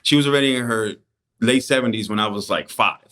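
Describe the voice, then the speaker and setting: A middle-aged man from the Dominican Republic, a painter guesting on a podcast, telling stories about his childhood in a relaxed, reflective way. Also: medium pitch, quick pace. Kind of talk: casual storytelling interview.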